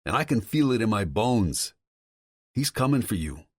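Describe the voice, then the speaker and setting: A man's voice: fairly low pitch, big pitch swings, normal speed, a little mellow, breathy edge, no room sound, studio quality, pitched above the performer's own voice. A man voicing an agitated brother who warns urgently over the phone. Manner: urgent, swearing, insistent.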